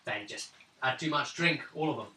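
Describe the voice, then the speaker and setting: A man's voice: mid-range voice, normal speed, bright, forceful tone, slight background noise, lightly acted. A man voicing a friendly, easygoing village innkeeper in a tabletop game, explaining things, on a distant roomy recording. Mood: friendly, matter-of-fact.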